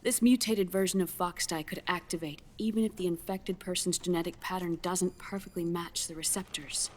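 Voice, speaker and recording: A woman playing a grave scientist in a video game cutscene, explaining grim findings in a measured, solemn way. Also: lowish voice, steady tone, slight background noise, lightly acted. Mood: clinical, explanatory. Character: grave female scientist delivering a grim diagnosis.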